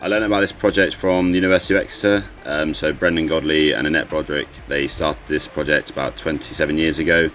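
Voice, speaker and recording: A man's voice: very deep voice, fast, hardly any pauses, soft background music. A young man from England in his twenties, explaining his volunteer work casually to camera with an occasional uh. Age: 20s. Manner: steady, explanatory, some uhs.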